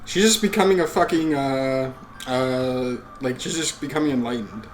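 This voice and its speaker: A man with a mid-pitched voice reads a visual novel aloud. He breaks off into casual, joking asides with swearing. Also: moderate pace, slight background noise. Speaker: let's play host.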